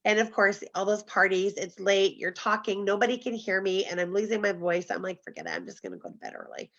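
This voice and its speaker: A woman hosts a relaxed group chat over a video call, talking at length and laughing easily. Her voice is bright and forceful. The call audio is a little dull and muffled. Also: medium pitch, quick pace. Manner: chatty, laughs often. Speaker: host.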